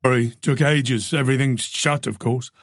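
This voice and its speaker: A male narrator voicing a police colleague who talks in a gentle, soothing, casual way. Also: mid-range voice, steady tone, normal speed, no room sound, clean studio sound, close to an everyday voice.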